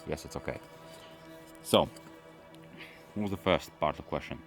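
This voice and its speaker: Vlogger, male, from London, Russian his first language; deep, easygoing, meandering Q&A talk. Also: normal speed, faint music behind. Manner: relaxed, rambling, with frequent fillers and restarts. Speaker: automotive YouTuber. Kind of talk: casual Q&A chat to camera.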